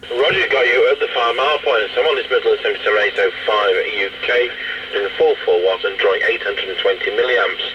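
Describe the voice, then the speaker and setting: A man's voice: lowish voice, quick pace. A British man hosting a CB radio repair test, calling out power readings and radio procedure in a matter-of-fact way. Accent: British English. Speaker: radio repair workshop host. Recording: distant, muffled, loud radio noise.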